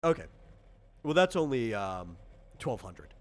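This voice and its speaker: Man, average pitch, game master, casual rules talk, thinks aloud. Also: very animated, a little slow.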